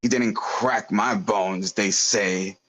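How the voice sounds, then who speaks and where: Man playing a low-voiced, gruff, bitter crime boss. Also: steady tone, takes it slowly, fairly bright tone. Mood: bitter, self-pitying. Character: gruff bitter crime boss, self-pitying, threatening, penniless. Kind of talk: screenplay table read.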